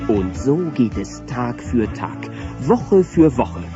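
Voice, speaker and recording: A man's voice: mid-range voice, sing-song, slow. A man narrating a children's audio play in an emphatic storytelling manner. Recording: dull cassette with music behind.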